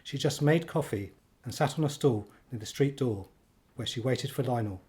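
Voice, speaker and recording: An actor narrating a radio play as a wry storyteller. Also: male voice, mid-range voice, normal speed, warm tone, natural voice. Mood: measured storytelling.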